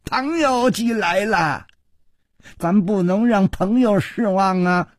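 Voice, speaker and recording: A man voicing a male tavern boss in a martial arts story, loud, mockingly hearty and threatening. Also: sits high, takes it slowly, no room sound, clean studio sound. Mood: menacing joviality.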